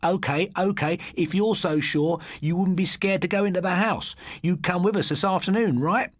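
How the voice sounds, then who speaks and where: An excitable schoolboy storyteller, acted out by a male reader, pitched well up and pushing every phrase. He is boastful and dramatic, spinning ghost tales in a dry, close audiobook sound. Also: normal speed, no echo. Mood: indignant. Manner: dramatic, insistent.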